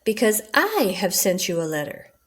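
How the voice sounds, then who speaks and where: A woman's voice: medium pitch, very animated, normal speed. A woman voicing an eager, kindly male frog in a children's storybook, earnest and coaxing. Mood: affectionate. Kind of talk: children's storybook read-aloud.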